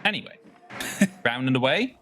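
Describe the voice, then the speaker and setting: Bright-voiced Dutch esports commentator. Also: male voice, mid-range voice, expressive, a little slow, a little hiss or hum. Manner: analytical, explains tactics with frequent restarts.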